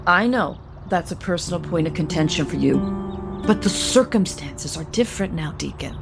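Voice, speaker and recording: A woman's voice: sits low, expressive, moderate pace, creaky, over music. An actress playing a protective, meddling, middle-aged mother of a grown son in a naturalistic audio soap opera. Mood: pointed, coaxing.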